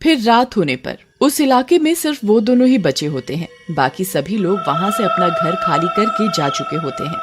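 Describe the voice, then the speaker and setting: Expressive woman narrating a horror story. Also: medium pitch, moderate pace, hardly any pauses, soft background music.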